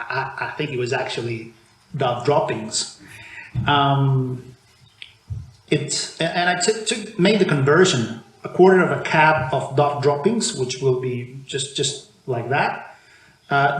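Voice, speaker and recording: A man's voice: medium pitch, measured pace. A man from Argentina, preaching a conversational sermon in a church, often restarting his sentences. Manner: conversational, with frequent restarts and fillers. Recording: echoey church room with faint background noise.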